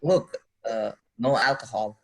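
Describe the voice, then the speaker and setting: A man's voice: medium pitch, unhurried, a bit bright. A man reading the part of an earnest, polite teenage boy from abroad in a table read, formal and hesitant.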